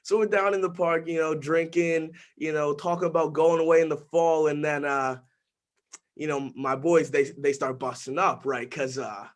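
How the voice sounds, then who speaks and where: A man's voice: fairly high pitch, fairly even intonation, normal speed, lightly acted. An actor playing a nervous seventeen-year-old boy who stammers, repeats himself and leans on fillers in a rambling monologue. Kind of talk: dramatic monologue.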